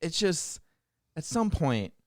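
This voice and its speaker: A man's voice: fairly high pitch, big pitch swings, slow pace, no room sound. Man chatting casually, dry close sound. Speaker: talk show panelist. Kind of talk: casual chat.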